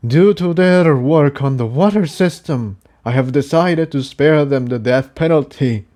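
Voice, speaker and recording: A man's voice: on the high side, a little slow, warm tone, voice pushed up, natural voice. A man voicing a stern, solemn male pony leader who declaims formally and with authority, as in an audio storybook. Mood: grave, formal.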